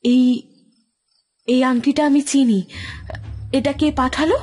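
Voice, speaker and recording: A woman acting as an excitable, observant detective's partner in an audio drama, with dramatic exclamations. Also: high-pitched, takes it slowly. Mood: startled. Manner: breathless, dramatic exclamations and repetitions.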